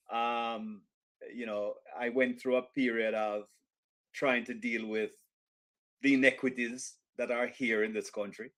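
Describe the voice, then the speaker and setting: A middle-aged man with a Jamaican accent, answering interview questions in a measured, reflective way, often pausing with fillers and restarts. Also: mid-range voice, a bit bright. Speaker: interview guest, author.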